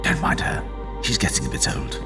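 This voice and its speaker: An actor playing a steady, protective military captain, measured and reassuring, in a science fiction audio drama. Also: male voice, a bass voice, exaggerated voice. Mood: calm, wry. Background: music.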